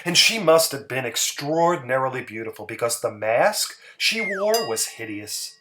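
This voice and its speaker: A man giving a dramatic story narration, theatrical and emphatic, with pauses for effect. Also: medium pitch, lively intonation, bright, forceful tone.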